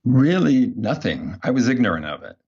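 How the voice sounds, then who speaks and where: A man's voice: mid-range voice, big pitch swings, normal speed, a little mellow, slightly rough, muffled recording. A man speaking as an interview guest, telling his story in a casual, anecdotal way. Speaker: guest, behavior design researcher.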